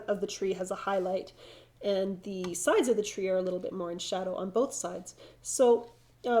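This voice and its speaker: Stuffed-up woman teaching watercolor. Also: mid-range voice. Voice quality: slightly froggy, nasal. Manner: relaxed, thinking aloud while painting, frequent restarts. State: stuffed up from hay fever. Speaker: watercolor instructor. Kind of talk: live painting demonstration.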